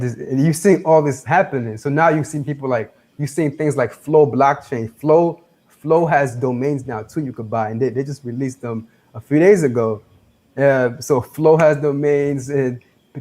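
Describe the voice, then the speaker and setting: Rambling young man in his twenties. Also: mid-range voice. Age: 20s. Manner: rambling, loose and excited. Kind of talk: casual group chat.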